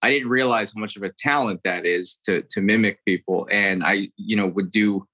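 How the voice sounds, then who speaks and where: Bright-voiced American impressionist, low-pitched man. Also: normal speed. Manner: earnest, rambling, repeats words. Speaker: impressionist guest. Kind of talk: interview storytelling.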